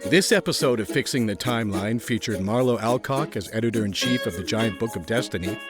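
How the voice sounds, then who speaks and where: A man's voice: on the low side, fairly quick. A man reading scripted closing credits as an announcer, with music playing behind him.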